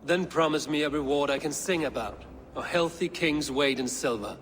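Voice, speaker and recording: An actor playing a bold, defiant Norse warrior in a video game, speaking in a measured, sardonic way. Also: male voice, on the high side, a bit bright, natural voice. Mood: challenging. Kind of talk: dramatic video game acting. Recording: noisy, long hall reverb.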